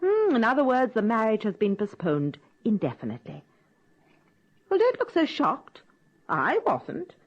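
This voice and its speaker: An actress playing a coolly controlling grown daughter in a radio drama, with a dry, clipped delivery. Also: female voice, fairly high pitch, wildly swooping pitch, a little slow, exaggerated voice. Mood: cool, calculating. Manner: dry, clipped, matter-of-fact. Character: cool, controlling grown daughter, dryly self-possessed. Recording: dull, lo-fi radio recording.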